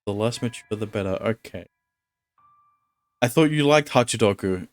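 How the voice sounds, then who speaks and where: A man's voice: lowish voice, moderate pace, faint music behind. Man reading a visual novel aloud. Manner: casual, reads narration in a steady tone then chats offhand. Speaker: streamer.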